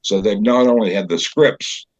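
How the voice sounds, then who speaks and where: An older man chatting as an interview guest, telling anecdotes in a halting, conversational storyteller's way. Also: lowish voice, dry recording. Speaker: guest, community theater director and actor. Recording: slightly dull, dry recording.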